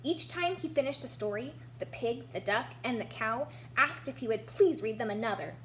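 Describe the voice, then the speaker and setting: A woman's voice: on the high side, animated, moderate pace. A woman reading a children's storybook aloud expressively, narrating for young listeners. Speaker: storybook reader. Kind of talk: animated children's read-aloud with picture commentary. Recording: noticeable background hum.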